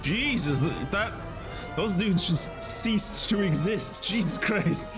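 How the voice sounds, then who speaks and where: A man's voice: high voice, lively intonation, slow pace. A man streaming a game live, giving joking, irreverent and sarcastic commentary. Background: game music.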